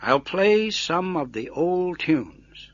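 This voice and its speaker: A man voicing a kindly, self-effacing old grandfather in a storybook, with gentle, folksy, reassuring phrasing. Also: sits high, big pitch swings, slow, no echo, lo-fi sound, pitched above the performer's own voice, heavily acted.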